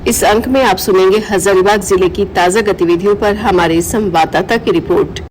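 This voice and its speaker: A female presenter delivers a formal scripted program announcement at a middle pitch. Music plays clearly underneath her. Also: moderate pace, low-quality recording. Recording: radio with music bed.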